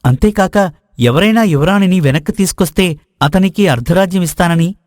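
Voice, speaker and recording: A man acts out a prince's companion in a storybook drama. He is a knowing, eager tale-teller with a notably high male voice, recorded dry and close. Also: fairly quick, a little mellow, dry recording, natural voice. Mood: intriguing, explanatory. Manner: explanatory storytelling. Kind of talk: dramatized moral story dialogue.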